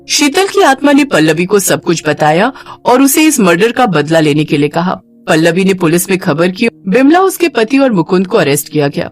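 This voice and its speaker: Animated female cartoon-story narrator. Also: fairly low pitch. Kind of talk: scripted dramatic narration for a cartoon moral story.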